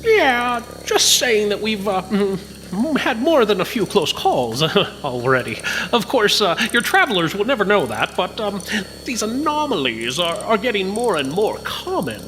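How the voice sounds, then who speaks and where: A man's voice: high voice, sing-song, unhurried, fairly bright tone, full character voice. A man voicing a worried mechanic in an audio drama, hesitant and full of fillers. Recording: long reverb with faint noise.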